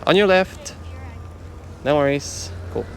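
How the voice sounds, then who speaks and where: A man's voice: fairly high pitch, expressive, takes it slowly, creaky, a little hiss or hum. A man giving a casual, chatty product demonstration as a vlogger.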